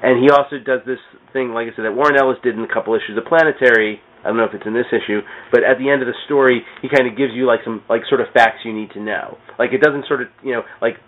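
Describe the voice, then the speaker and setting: A man chatting casually on a comics podcast in a rambling, halting way, often repeating words as he goes. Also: medium pitch, steady tone. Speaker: podcast speaker discussing comics. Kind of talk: casual chat. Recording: thin phone-like radio sound.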